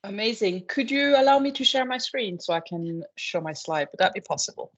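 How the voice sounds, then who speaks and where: A woman presents a marine science talk over a video call. She explains things patiently, with lots of small "uh"s and "um"s along the way. Her voice sits at a middle pitch, and the audio sounds a little dull and lo-fi. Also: fairly quick. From France. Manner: relaxed, explanatory, with frequent "uh" and "um" fillers. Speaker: marine biology researcher. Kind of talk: online presentation.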